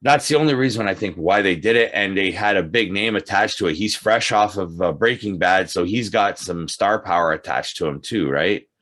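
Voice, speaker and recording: A man's voice: low voice, normal speed, hardly any pauses, a bit bright. A man in casual conversation as a guest movie reviewer, agreeable and backing up the other speaker. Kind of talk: casual chat.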